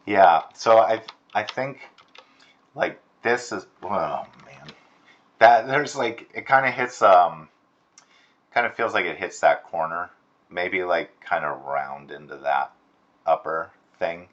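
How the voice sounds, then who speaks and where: A man giving a casual, encouraging critique as an animation instructor, softening his suggestions with tentative phrasing. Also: low voice, takes it slowly, muffled recording. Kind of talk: casual spoken critique of student work. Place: screen-recorded voice-over.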